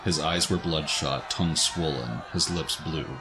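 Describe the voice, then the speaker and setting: Deep-voiced man narrating a dramatic story. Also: unhurried, noisy background. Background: faint music.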